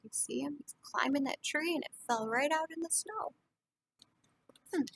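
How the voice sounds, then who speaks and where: A woman with a fairly high voice reads a children's picture book aloud in the manner of an early learning teacher. She steps out of the story to explain things simply and to put questions to her young listeners. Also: moderate pace, gravelly, fairly bright tone.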